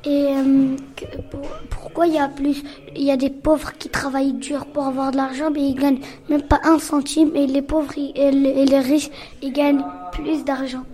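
A woman's voice: high voice, flat delivery, moderate pace, mellow. A young female radio interviewer who stumbles and rephrases as she puts her point.

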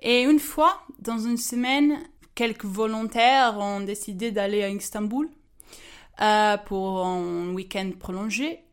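A woman's voice: mid-range voice, takes it slowly, clear, resonant tone. Young Portuguese woman, hesitant. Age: 20s. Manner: hesitant, many fillers and restarts. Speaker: guest storyteller. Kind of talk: casual personal testimony.

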